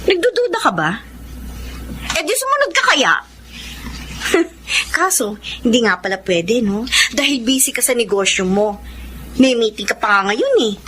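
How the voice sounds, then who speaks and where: A flirtatious, self-admiring kept woman in a Filipino radio drama. Her high voice rises and falls theatrically with open defiance. A little noise sits behind it. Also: very animated, unhurried, pauses often, a bit bright. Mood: mocking. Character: vain, flirtatious, defiant live-in mistress.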